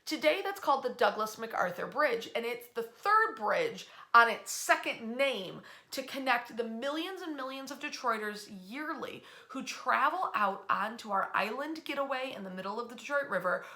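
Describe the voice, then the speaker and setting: A woman presenting local history as an animated storyteller, in a conversational, emphatic and folksy way. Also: a little high, moderate pace, hardly any pauses.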